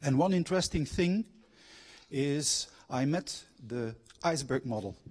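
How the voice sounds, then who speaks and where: A man's voice: mid-range voice, lively intonation, a little slow, darkish tone, breathy edge. A middle-aged man giving a public lecture with personal anecdotes, engaging his audience with questions. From Belgian, grew up abroad. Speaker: guest lecturer, former factory manager and trained coach. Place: university lecture hall.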